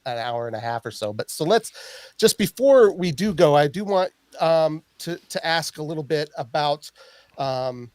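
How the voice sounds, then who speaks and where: Chatty man host, lively pitch. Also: dry recording. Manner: rambling, filler-heavy questions. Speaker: podcast host. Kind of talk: casual interview chat.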